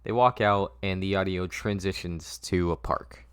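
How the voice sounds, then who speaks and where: A man with a low voice narrates a script at a table read. He goes slowly and haltingly, often stumbling and correcting himself. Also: steady tone, a bit bright, clean tone. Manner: halting, stumbling over the text.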